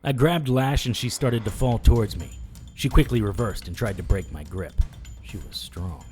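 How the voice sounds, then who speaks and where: An actor playing a battered, wry private investigator, narrating in the first person in an audio drama. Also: male voice, lowish voice, normal speed, darkish tone, faint music behind, lightly acted. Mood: tense, dry. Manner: dry, hard-boiled.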